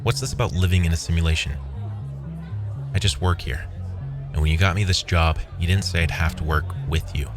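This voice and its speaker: A man's voice: low-pitched, fairly quick, long pauses, over music, close to an everyday voice. A man playing a weary, wry programmer who narrates his own story as a scripted first-person audio drama. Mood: dry banter.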